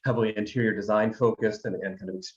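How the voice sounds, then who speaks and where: A man in his thirties from Alabama speaks in a lowish voice. He rambles loosely, full of ums and restarts. The sound is dull and lo-fi. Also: fairly even intonation, fast. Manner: rambling, many ums and repeated words. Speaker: guest, design firm founder. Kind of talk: conversational interview.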